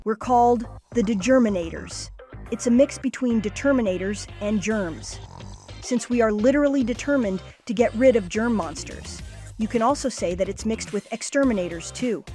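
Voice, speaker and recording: A woman's voice: fairly high pitch, moderate pace, dark tone, close to an everyday voice. A woman voicing a plucky, determined and kindhearted boy hero in a cartoon comic dub. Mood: friendly. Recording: music playing under the voice.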